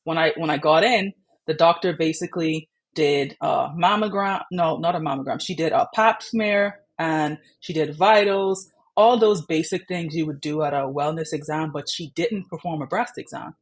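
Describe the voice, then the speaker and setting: A woman's voice: sits low, normal speed. A young woman from the Bahamas, candidly telling her own patient story in a chatty way. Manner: chatty, frequent "like" and "you know" fillers.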